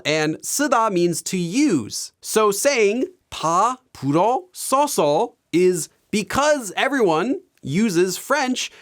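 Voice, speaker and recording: A man teaching Korean on his video channel, explaining things clearly and laughing often. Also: high voice. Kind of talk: educational video commentary.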